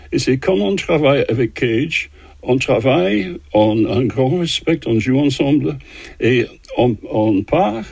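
Older man, husky, halting French. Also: medium pitch, a little mellow, no echo. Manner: halting, many fillers and restarts. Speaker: composer guest. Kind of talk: interview answers in a second language.

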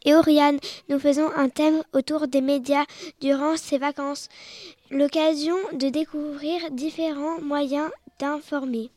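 High-voiced young co-host reading her script. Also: steady tone, measured pace, darkish tone, no room sound. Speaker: child co-host of a kids' radio show. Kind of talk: reading a script, asking interview questions.

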